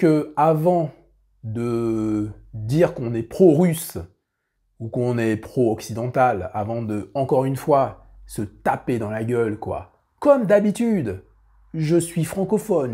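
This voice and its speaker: A man's voice: mid-range voice, mellow, breathy edge. A man hosting a casual monologue to his viewers, emphatic and repeating his phrases with many fillers. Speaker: host.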